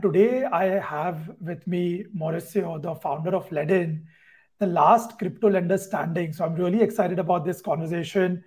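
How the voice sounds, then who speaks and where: A man in his thirties hosting a podcast interview from a room at home over a video call, speaking in a measured way. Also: high-pitched, darkish tone, soft background music. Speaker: podcast host. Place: home room indoors at night.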